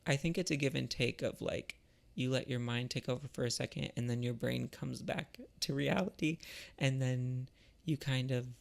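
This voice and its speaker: A man talking in a casual, reflective monologue, thoughtful and halting, with a creaky voice. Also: mid-range voice, fairly even intonation. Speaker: artist and musician vlogger.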